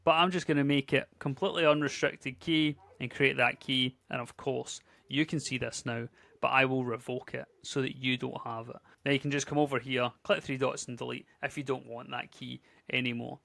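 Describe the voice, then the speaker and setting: Scottish guy in his 30s, tutorial host, chatty and upbeat, bit of fry, dry close sound. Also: medium pitch, steady tone, moderate pace, pushed and bright, no echo. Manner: chatty, upbeat, conversational with filler words. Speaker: tech YouTuber. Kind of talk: casual screen-share tutorial.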